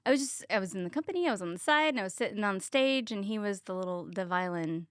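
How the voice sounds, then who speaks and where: A woman's voice: medium pitch, animated, fast, clean tone, no room sound. A Texan woman in her thirties, chatting casually as a health coach guest, with lots of ums. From Texas. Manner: chatty, many ums, laughs at herself. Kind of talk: casual interview chat.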